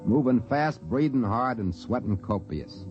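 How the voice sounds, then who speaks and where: An easygoing space trader, played by a man, telling his story in folksy American speech. His pitch is middling. It is old radio drama, muffled, with faint music. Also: lively intonation, measured pace, close to an everyday voice. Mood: wry storytelling. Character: folksy, easygoing trading post man on alien planet. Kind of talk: 1950s radio drama acting and narration.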